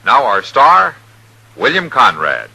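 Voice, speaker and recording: A man's voice: medium pitch, wildly swooping pitch, slow, creaky, sharp tone, breathy edge, rough recording. An American man announcing in a scripted 1950s network radio style. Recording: vintage 1950s radio recording. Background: music.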